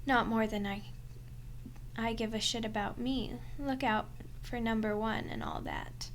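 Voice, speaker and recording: Slow-talking sardonic vampire woman, acted by a woman. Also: fairly high pitch, steady tone, a little hiss or hum. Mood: wounded, defiant. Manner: teasing sarcasm, often dropping to breathy murmurs. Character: starving captured vampire woman, sardonic, flirtatious, proud, menacing. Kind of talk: ASMR roleplay acting.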